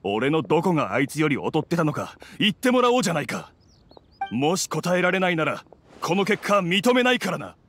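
Game role: sullen male sore loser, blunt and gruff, lively pitch movement, faint music underneath. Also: a little fast. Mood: indignant. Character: sullen, proud male rival, resentful loser. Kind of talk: Japanese game voice acting.

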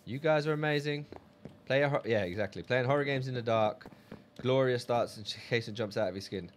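A man's voice: medium pitch, fairly quick, a little hiss or hum. A man chatting casually on a game live stream, reading viewer messages aloud.

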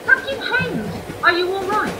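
A courteous British train conductor, acted by a woman in a radio play. The voice is high and lively, over a noisy recording. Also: unhurried, heavily acted. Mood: concerned, courteous.

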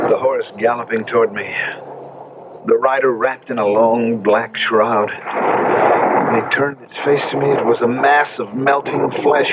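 Actor as haunted young husband, noisy old radio. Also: male voice, medium pitch, close to an everyday voice. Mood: shaken, horrified. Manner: theatrical, intense. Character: young husband tormented by nightmares, anguished and haunted. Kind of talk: 1970s radio drama acting. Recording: noisy, dull old radio broadcast recording.

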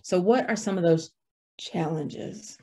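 A woman's voice: fairly low pitch, takes it slowly, gravelly, dark tone, slightly rough. Woman moderating a video-call roundtable. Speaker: webinar presenter.

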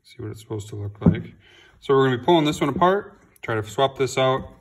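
A man's voice: mid-range voice, quick pace. A man in his home garage, casually explaining a repair step by step while filming close over his workbench. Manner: relaxed, plain-spoken, with ums and restarts. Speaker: home mechanic. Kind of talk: casual how-to narration.

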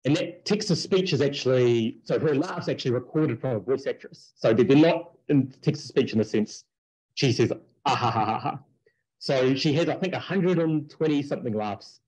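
A man researcher talking casually on a video call, with many fillers and quick yeps. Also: mid-range voice, a little mellow, husky, low-quality recording. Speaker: researcher presenting a talk. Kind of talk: conference talk and Q&A. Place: indoors, small room. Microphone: headset on video call.

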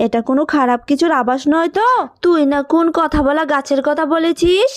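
A woman's voice: high voice, brisk, close to an everyday voice. A woman voicing a stern, suspicious mother in a cartoon, brusque and scolding. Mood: wary. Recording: long reverb with music underneath.

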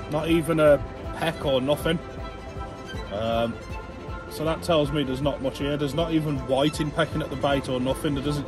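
Male vlog host, casual and colloquial, easygoing narration with music underneath. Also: medium pitch. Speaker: angling vlogger and host.

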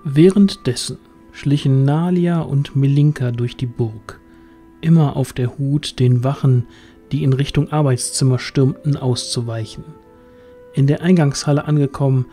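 Man, scripted fantasy narration, dark soft-edged timbre, faint music behind. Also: medium pitch, steady tone, a little slow. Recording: music underneath.

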